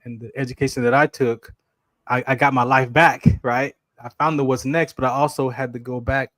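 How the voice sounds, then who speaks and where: Casting: male interview host, mid-pitched, earnest, stumbling restarts, dry close-mic sound. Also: a bit bright, no room sound. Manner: earnest, repeats words, says "right?" often. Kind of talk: casual interview talk.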